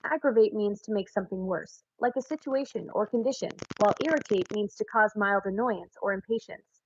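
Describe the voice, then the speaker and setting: A synthetic female voice assistant giving a smooth, even answer. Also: medium pitch, a little fast, highs cut off, a little hiss or hum.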